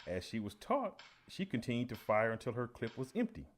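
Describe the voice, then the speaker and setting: Male actor as veteran teacher, radio play interview, earnest, official, measured wording, very animated pitch, background noise. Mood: official, unruffled. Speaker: high school teacher interviewed.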